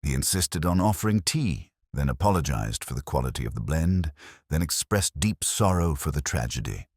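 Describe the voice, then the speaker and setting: Very deep male narrator, dry studio sound. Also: big pitch swings, slightly rough, no echo. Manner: measured, brooding, literary delivery. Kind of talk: scripted first-person detective story narration.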